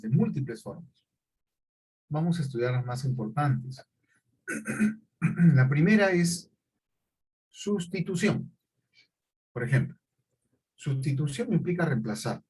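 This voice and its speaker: Man, middle-aged, lecturing, a bit husky, higher voice. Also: a little mellow, rough recording. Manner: questions students often. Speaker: teacher. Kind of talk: classroom lecture.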